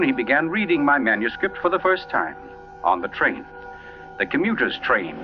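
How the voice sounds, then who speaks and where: An actor playing an urbane crime writer who tells a mystery with dramatic pauses, in 1940s radio style. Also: male voice, on the low side, close to an everyday voice. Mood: suave storytelling. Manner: suave, dramatic, savoring pauses. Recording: muffled vintage radio broadcast with music.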